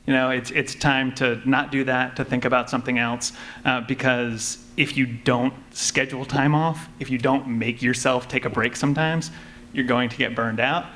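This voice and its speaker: Matter-of-fact man, medium pitch, noisy room. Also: steady tone, moderate pace, fairly bright tone. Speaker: panelist, audio drama writer. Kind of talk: measured, explanatory panel talk. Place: convention panel room with background noise. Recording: noisy live convention panel room.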